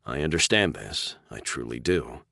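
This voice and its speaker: A man voicing a confident, persuasive man who speaks with measured courtesy, in an audiobook. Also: a bass voice, expressive, gravelly, pushed and bright, dry recording, clean studio sound, pitched below the performer's own voice, full character voice. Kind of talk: audiobook character voice.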